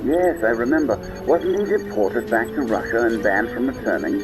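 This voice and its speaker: Loyal male butler played by a man: polite, concerned, animated intonation, middle pitch, audio drama with music underneath. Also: moderate pace, lightly acted, rough recording. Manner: polite, deferential, attentive.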